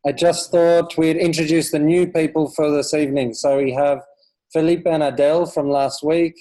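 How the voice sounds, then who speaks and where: A man's voice: on the high side, little pitch movement, normal speed, warm tone, rough recording. A man organizing a video call meetup, easygoing as he asks people to introduce themselves. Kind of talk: casual video call moderating.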